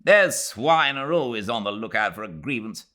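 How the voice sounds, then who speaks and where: A man's voice: lowish voice, very animated, moderate pace, bright, forceful tone, pitched above the performer's own voice. A man with a British accent playing a glib, knowing young English official. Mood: smug.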